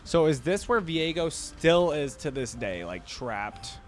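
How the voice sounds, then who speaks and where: A man's voice: on the high side, sing-song, takes it slowly. A man hosting a reaction video, commenting casually and musing aloud in questions. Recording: music playing behind the voice.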